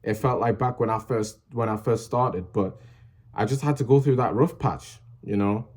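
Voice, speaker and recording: Young man, lowish voice, mellow tone, casual vlog talk, rambling with lots of fillers, earnest and encouraging. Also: moderate pace. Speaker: small music channel creator.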